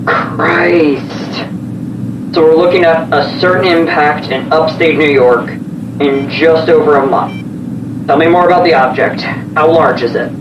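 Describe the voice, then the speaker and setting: An actor playing an alarmed, sardonic government official in an audio drama, incredulous and emphatic. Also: male voice, fairly high pitch, measured pace, natural voice. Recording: roomy, distant, dull, noisy vehicle ambience.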